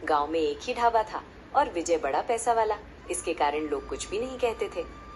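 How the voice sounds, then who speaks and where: A woman's voice: fairly low pitch, animated, moderate pace, background noise. A woman narrating a story in a scripted storybook style. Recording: music behind the voice. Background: loud background music.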